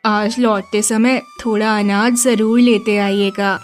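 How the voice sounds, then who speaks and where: Slow-spoken, gentle village wife played by a woman. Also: mid-range voice, little pitch movement, faint music behind, natural voice. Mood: concerned. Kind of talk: animated Hindi moral story dialogue.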